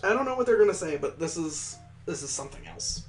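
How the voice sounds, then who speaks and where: A man's voice: fairly high pitch, sing-song, quick pace, faint music behind. A man hosting a let's play, reading a visual novel aloud and breaking in with sardonic asides.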